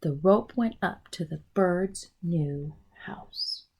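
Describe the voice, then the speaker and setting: A woman teacher reading a children's storybook aloud in an expressive, explanatory way for young listeners. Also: on the low side, slow pace, creaky, a little hiss or hum.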